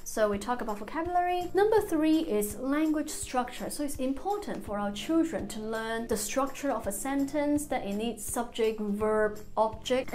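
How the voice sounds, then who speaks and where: A woman's voice: on the high side, a little mellow. A woman in her thirties whose first language is Cantonese, giving an explanatory talk to parents in an office and walking through numbered points with examples. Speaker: literacy researcher. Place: office with bookshelves, indoors.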